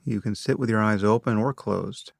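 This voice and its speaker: A man with a fairly low voice and a noticeable creak leads a guided meditation. He gives measured instructions in short phrases, leaving long pauses between them. The sound is dry and close, with little room around it. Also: dry recording.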